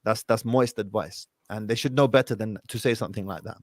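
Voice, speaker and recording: A male call-in host talks at a quick clip in a dry, close sound. He gives informal religious advice with emphasis and drops Arabic phrases into casual speech. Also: on the low side, no room sound.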